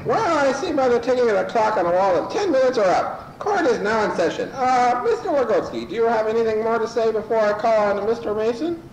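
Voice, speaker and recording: A woman's voice: a little high, expressive, brisk, few pauses, a little mellow, close to an everyday voice. A woman playing an officious, slightly hesitant judge in an amateur radio comedy. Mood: brisk. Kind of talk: amateur old-time radio comedy acting. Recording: echoey room, faint noise.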